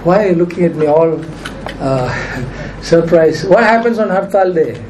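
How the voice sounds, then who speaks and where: Male pastor, high voice, strained from preaching, emphatic, faint background hiss. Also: expressive, normal speed. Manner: emphatic preaching, repeats key phrases. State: nearly lost his voice. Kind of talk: sermon.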